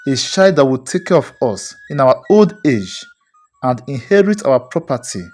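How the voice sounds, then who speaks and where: An actor playing a calm, loving middle-aged husband in a dramatised folktale, speaking in a soothing, earnest way. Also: male voice, medium pitch, moderate pace, faint music behind. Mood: gentle, earnest.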